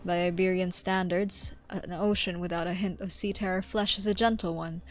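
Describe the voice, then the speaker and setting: A woman's voice: fairly low pitch, fairly even intonation, moderate pace, lightly acted. Narrator for a game tale, read by a woman, literary and serious, light music underneath. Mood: serious, descriptive. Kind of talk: scripted narration read aloud.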